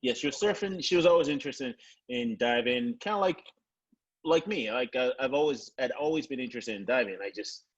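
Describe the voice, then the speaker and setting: A man's voice: mid-range voice, a little fast, husky. Nigerian man hosting over a muffled call. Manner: relaxed, repeats words while thinking. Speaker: podcast host. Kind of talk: casual interview chat.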